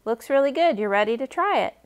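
A woman's voice: high voice, animated, fast talker. A woman in her thirties hosting a friendly step-by-step cooking demonstration for children, speaking in simple, encouraging instructions. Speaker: library cooking program host for kids.

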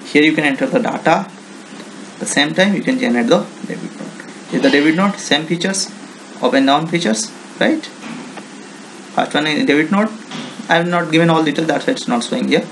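Male software demonstrator, Indian accent, creaky voice, halting unscripted tutorial delivery, slightly noisy screencast audio. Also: a little high, expressive. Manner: halting, non-native English phrasing with repeated check words.

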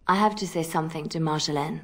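A woman's voice: sits low, fast talker. A woman playing an earnest, principled young female spy in a video game, with a hesitant, pleading manner. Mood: worried.

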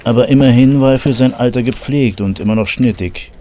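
A man's voice: mid-range voice, brisk, mellow, a little hiss or hum. A man narrating a scripted horror story as the narrator of an audio drama.

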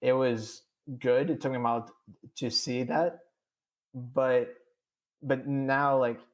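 A man's voice: medium pitch, fairly even intonation, slow pace, low-quality recording. A young man with a strong stutter, answering podcast interview questions candidly, with many repeated sounds and long strings of "uh". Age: young adult. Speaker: podcast guest.

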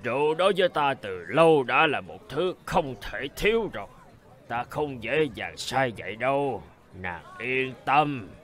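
An actor playing a burly, boastful, hot-tempered royal guard and bridegroom in a cartoon folk tale, emphatic and demanding. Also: male voice, mid-range voice, sing-song, unhurried, a bit bright. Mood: drunken boasting. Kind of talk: animated folk-tale dialogue.

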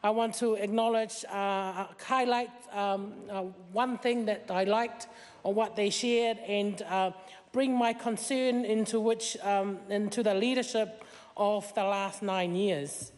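A woman's voice: medium pitch, fairly even intonation, slow pace. A middle-aged woman whose first language is Tongan, giving an earnest parliamentary speech at a desk mic, with many fillers and rising emphasis. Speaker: member of parliament. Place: large wood-panelled debating chamber. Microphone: desk microphone. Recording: long chamber reverb.

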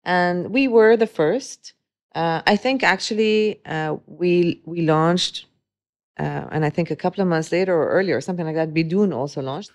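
This is a woman in her forties with a notably low voice, speaking as an interview guest. She talks thoughtfully, pausing and restarting as she searches for words. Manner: reflective, with frequent hesitations and restarts. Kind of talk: conversational interview.

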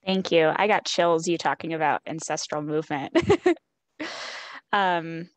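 Low-voiced woman moderating a panel. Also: measured pace, no echo. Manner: brief, gracious, polite prompts.

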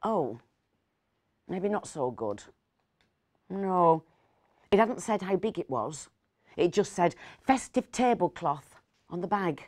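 A woman in her fifties reading a story aloud dramatically, with pauses and hushed asides. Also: fairly low pitch, sing-song, dry recording, studio quality. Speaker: actor reading a short story.

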